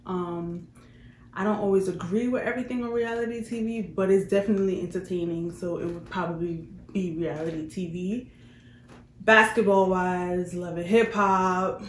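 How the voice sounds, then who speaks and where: A woman's voice: fairly low pitch, steady tone, moderate pace. Young New woman, roomy sound. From Queens, New York, United States. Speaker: vlogger. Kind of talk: casual question-and-answer chat to viewers. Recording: roomy echo, faint background noise.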